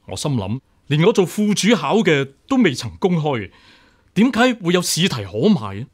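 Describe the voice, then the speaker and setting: A stubbornly upright deputy examiner in a Cantonese radio drama. His Hong Kong-accented voice sits a little high and moves with lively intonation. He is formal, earnest and refuses to yield. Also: normal speed. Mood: agitated, insistent.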